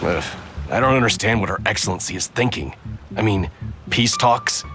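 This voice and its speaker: A man's voice: lowish voice, expressive, normal speed, lightly acted. A man playing a hot-headed, distrustful male soldier who talks indignantly. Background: game music.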